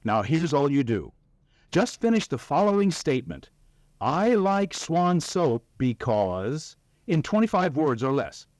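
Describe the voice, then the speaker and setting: A man's voice: a little high, big pitch swings, measured pace, darkish tone, no echo. A man playing an upbeat 1940s radio announcer, delivering an advertisement in an emphatic, salesmanlike way. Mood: upbeat selling.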